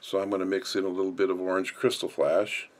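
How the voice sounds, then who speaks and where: Guy w a low voice doing a how-to, explaining stuff step by step off the cuff. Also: little pitch movement, quick pace. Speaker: instructor. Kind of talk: hands-on tutorial narration.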